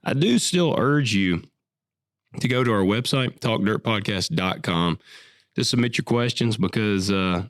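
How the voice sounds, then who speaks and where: A man and farmer co-hosting a casual podcast, sick with a cough and a deeper voice than usual, blunt and joking. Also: lively intonation, measured pace, no room sound, clean studio sound. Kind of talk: casual chat.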